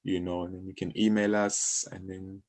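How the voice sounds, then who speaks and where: A man's voice: low-pitched, flat delivery, normal speed, darkish tone. A man with a South African accent talking as an interview guest, explaining things in a casual, conversational way with everyday examples. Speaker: interview guest, music publishing company co-founder.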